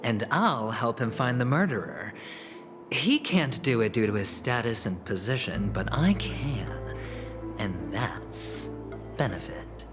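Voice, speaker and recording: Game role: cocky young gambler-schemer, slow, swooping, theatrical delivery over prominent music. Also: male voice, medium pitch, expressive. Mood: cocky, coolly calculating. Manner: teasing, dramatic, breaks into hushed asides and soft chuckles. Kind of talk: video game voice acting.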